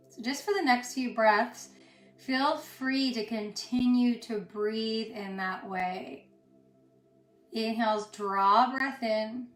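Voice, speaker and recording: A woman with a somewhat high voice guides a yoga and meditation class. She soothes as she goes, speaking in short phrases with pauses between them. The room adds a clear echo, and music plays underneath. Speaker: yoga instructor.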